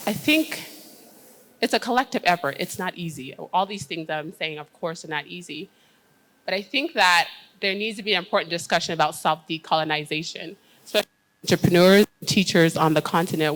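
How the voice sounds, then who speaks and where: American woman on a conference panel, kinda low voice, earnest, lots of ums. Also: rough recording. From United States. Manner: earnest, steady, with frequent "um" fillers. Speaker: panel speaker, education leader.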